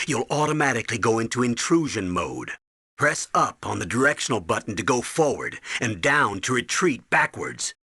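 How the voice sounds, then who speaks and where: An actor playing a stern older military commander, giving authoritative, urgent briefings in a video game with a husky voice. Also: male voice, medium pitch, pushed and bright, no room sound, studio quality, full character voice. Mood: instructive. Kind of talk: video game voice acting, tutorial instructions.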